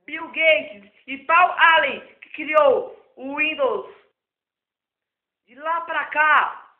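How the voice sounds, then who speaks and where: A hoarse man, giving a rambling, improvised tech lesson as a self-taught computer tutor. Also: very high voice. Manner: rambling and repetitive. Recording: echoey, phone-like lo-fi recording.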